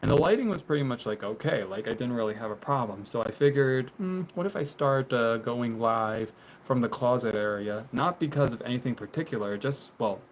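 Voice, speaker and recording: A young man in his twenties talks loosely to his viewers, stumbling through fillers and restarts and laughing now and then. His voice sits at an ordinary middle pitch. A faint background hum is in the room with him as his phone on a tripod picks him up from inside a small closet. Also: animated, fairly quick, poor audio. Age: 20s. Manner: rambling, many fillers and restarts, laughs often. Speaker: live streamer. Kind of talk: casual live stream chat, reading viewer comments. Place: small bedroom closet, indoors.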